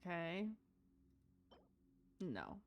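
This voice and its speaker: Easygoing lower-voiced woman streamer. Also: huge pitch swings, drawn-out words. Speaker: livestreamer playing a video game. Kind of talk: casual chat, gameplay commentary. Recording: game music behind. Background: video game music and character voices.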